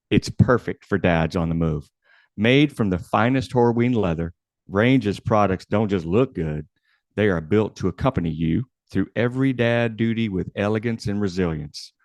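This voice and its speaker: Deep-voiced man co-hosting. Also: no room sound, clean studio sound. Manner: brief backchannel, playful setups. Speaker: podcast co-host. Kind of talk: casual chat and read ad spot.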